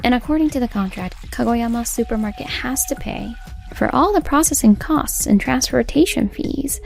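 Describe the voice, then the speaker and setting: A woman's voice: a little high, animated, moderate pace, creaky, a little mellow, faint music behind. A woman voicing an earnest, assertive young female store manager in a comic dub, speaking emphatically. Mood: firm, challenging. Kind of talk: dubbed comic voice acting.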